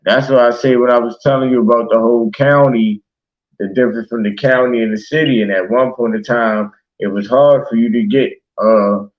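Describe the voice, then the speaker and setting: A man's voice: mid-range voice, steady tone, brisk, highs cut off. A man in casual, passionate conversation as a talk show host, speaking emphatically with slang and swearing. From Baltimore, United States.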